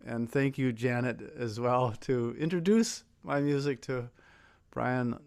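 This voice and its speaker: A man's voice: medium pitch, slow pace, darkish tone, smooth. An American man speaking informally and reflectively as a guest musician, with hesitant, grateful phrasing. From Minnesota, United States. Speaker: guest singer-songwriter. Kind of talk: informal, personal talk between songs.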